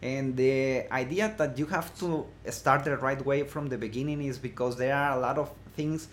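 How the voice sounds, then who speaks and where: A man from Mexico speaks English with a Mexican Spanish accent, his pitch sitting in a middle range. He talks as a guest in a relaxed interview, often pausing and starting over. A faint background noise runs under his voice. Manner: hesitant, many fillers and restarts. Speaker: guest, blogging course creator. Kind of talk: casual interview chat.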